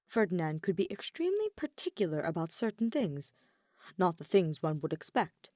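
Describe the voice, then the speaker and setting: A woman's voice: lowish voice, very animated, moderate pace, mellow, dry recording, clean studio sound. A woman reading scripted fiction as an audiobook narrator.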